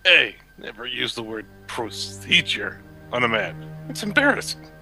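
A man's voice: medium pitch, wildly swooping pitch, moderate pace, pushed and bright, exaggerated voice, poor audio. A man playing a blunt, tactless, oafish henchman in an amateur radio play, talking casually. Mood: tactless, offhand. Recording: music clearly behind the voice.

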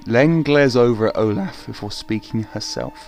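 A man narrating a gothic radio play in a deliberate, theatrical scripted style. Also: mid-range voice. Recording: faint music behind narration.

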